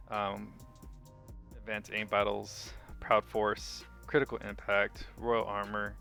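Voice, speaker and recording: A man's voice: fairly low pitch, vocal fry, pushed and bright. A man giving a casual game walkthrough commentary, informal and enthusiastic like a hobbyist sharing a setup. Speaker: gaming video creator. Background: music.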